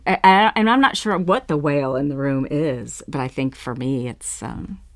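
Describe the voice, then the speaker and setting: A woman talking informally and tentatively in a panel discussion, often halting mid-thought. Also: low voice.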